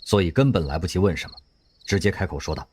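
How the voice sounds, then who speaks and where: A man narrating an audio drama in an expressive storytelling manner. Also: deep voice, fast, a little hiss or hum.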